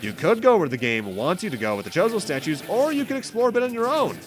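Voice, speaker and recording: Casting: male let's play host, lively intonation, casual explanatory rambling, self-correcting, faint music underneath. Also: sits high, quick pace. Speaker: host of a video game playthrough series. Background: game music.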